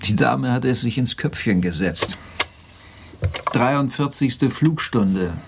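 A man's voice: mid-range voice, expressive, takes it slowly, gravelly, noisy background. An actor playing a world-weary, sardonic flight instructor in a naturalistic radio play, laconic, mocking and fatalistic in his talk. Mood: sour, fatalistic.

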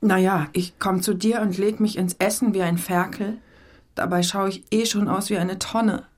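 A woman's voice: mid-range voice, steady tone, moderate pace, close to an everyday voice. An actress playing a blunt, self-mocking working-class cleaning woman in a naturalistic radio drama. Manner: blunt, plain-spoken, colloquial.

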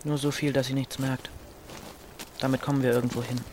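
A man's voice: mid-range voice, little pitch movement, fairly quick, darkish tone, close to an everyday voice. A teenage boy, played by a man, restless and defiant, with a mid-range voice. He is pragmatic, in radio-play style, with faint ambient noise behind him. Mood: matter-of-fact. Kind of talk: German radio drama acting.

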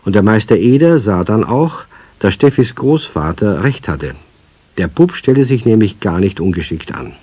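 Storyteller with a dark, husky voice. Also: male voice, on the low side, very animated, moderate pace, no echo. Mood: calm storytelling. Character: storyteller of a children's radio play. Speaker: narrator. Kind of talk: scripted children's story narration.

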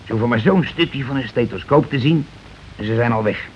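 An actor in a Dutch radio play as a gruff, blustering working-class husband and war veteran who schemes and talks colloquially. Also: male voice, medium pitch, fairly quick, natural voice. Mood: know-all. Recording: dull, noisy old radio recording.

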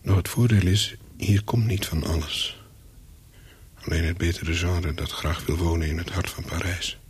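An actor playing a wary, secretive English hotel guest in a radio play, telling his story in short, measured sentences with a husky voice. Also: steady tone. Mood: brooding, reflective. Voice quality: low, husky. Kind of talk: radio play, first-person narration with dialogue.

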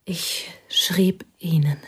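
An actress playing a bitter, lonely woman confiding old betrayals in a hushed, intense voice. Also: fairly low pitch, steady tone, drawn-out words, husky, heavily acted. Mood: bitter confession. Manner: hushed, intense, often whispering. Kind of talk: radio play acting.

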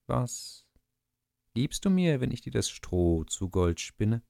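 A man voicing a greedy, spiteful little imp in a storybook reading, with a high, slow, taunting delivery. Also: sing-song, gravelly, a little mellow, no echo, studio quality, exaggerated voice. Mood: wheedling. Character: spiteful tiny male imp, shrill and greedy. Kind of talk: audiobook reading of a fairy tale.

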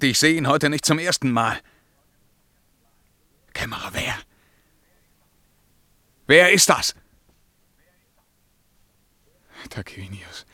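An actor playing an imperious, brooding emperor in a verse radio drama, declamatory and commanding in a solemn, measured way. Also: male voice, lowish voice, bright, forceful tone, dry recording, full character voice. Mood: agitated, suspicious. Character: imperious, brooding Byzantine emperor, haughty and troubled.

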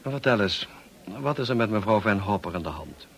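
An actor playing a brusque, dryly witty upper-class gentleman in a radio play, clipped and commanding, on a slightly noisy old recording. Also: lowish voice, expressive, brisk. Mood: dry, teasing. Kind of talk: radio drama acting.

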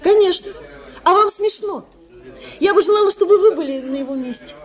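Very high-voiced coquettish young princess. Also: female voice, expressive, fairly quick. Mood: piqued, coy. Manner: coquettish, pointed. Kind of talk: theatrical radio play acting. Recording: faint music and crowd behind.

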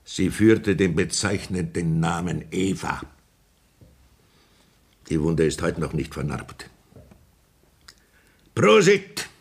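A pompous old country doctor. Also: male voice, sits low, very animated, slow pace, vocal fry, long pauses, slightly rough, full character voice. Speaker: Sanitätsrat. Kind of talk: 1960s radio play acting.

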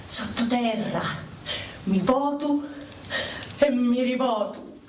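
A high-pitched woman, played by an actress, tells her wartime story as a proud, lovelorn ghost. The delivery is dramatic and theatrical, set far from the mic in an echoing space with music behind. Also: slow, a little mellow, slight background noise. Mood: sorrowful, proud. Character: Sicilian woman war porter, dead a century, proud, lovelorn. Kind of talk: theatrical first-person monologue. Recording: echoey, distant miking, music behind.

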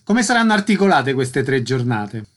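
A man's voice: medium pitch, big pitch swings, normal speed. A man working as a radio host, introducing his guest and putting interview questions in a prepared style.

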